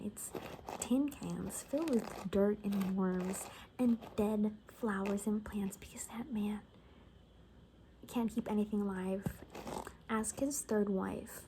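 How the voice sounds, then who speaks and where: A woman doing a soft-spoken ASMR roleplay as a sassy, gossipy apocalypse survivor, chatting casually and sarcastically and often dropping to a whisper. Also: mid-range voice, slow pace, a little hiss or hum, poor audio.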